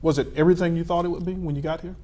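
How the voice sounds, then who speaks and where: A middle-aged man hosting a local TV interview, his voice pitched a little above the usual male range. He asks friendly, easygoing questions and leans on plenty of "uh" and "um" fillers. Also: fairly even intonation, rapid-fire, warm tone. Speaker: TV show host.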